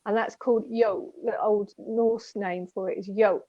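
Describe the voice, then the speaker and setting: A woman's voice: medium pitch, steady tone, a little slow, mellow, no echo, muffled recording. A woman co-hosting a casual spiritual chat, explaining at length with many restarts. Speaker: co-host.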